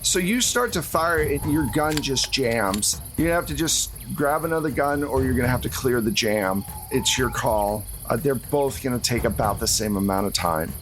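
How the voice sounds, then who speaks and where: Man, game master, conversational storytelling, medium pitch, faint background noise. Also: lively intonation, normal speed, hardly any pauses. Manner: casual, conversational. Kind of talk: narrating a tabletop horror roleplaying game.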